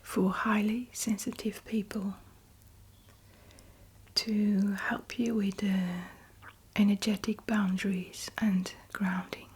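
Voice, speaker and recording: A woman whispers a guided meditation at an extremely slow pace. Her voice is very breathy and soft-edged, with little movement in pitch. She sets out each short phrase deliberately and leaves long pauses. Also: flat delivery.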